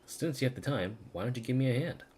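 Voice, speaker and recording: An actress playing a brisk, confident woman trainer in a video game, upbeat and friendly with a challenging manner. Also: a bass voice, lively intonation, a little mellow, a little hiss or hum.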